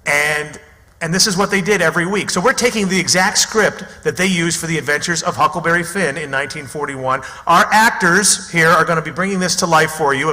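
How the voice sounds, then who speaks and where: A man's voice: a little high, pushed and bright. A man speaking as host and announcer of a live radio theatre show, introducing it from the stage. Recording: echoey theatre hall recording.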